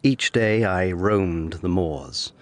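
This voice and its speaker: Earnest, low-voiced young lawyer. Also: male voice, expressive. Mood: reflective, weary. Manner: measured, formal, earnest. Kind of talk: period audio drama acting and narration.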